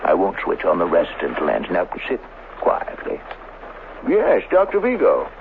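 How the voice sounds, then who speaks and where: Flustered district chief, blustering, evasive, radio drama, very animated intonation, tinny hissy broadcast. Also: male voice, low-pitched, measured pace, gravelly, exaggerated voice. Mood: flustered, guarded. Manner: hesitant, blustering, placating. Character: flustered male colony district chief, placating and evasive official. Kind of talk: old-time radio drama acting.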